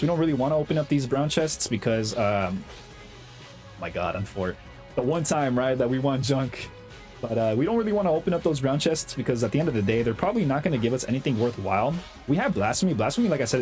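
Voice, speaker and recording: A young man with a slight cold, chatting excitedly over his own gameplay as the host of a gaming channel, full of slang and fillers. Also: medium pitch, fast talker, faint music behind. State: has a cold, slightly hoarse. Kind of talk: casual gameplay commentary.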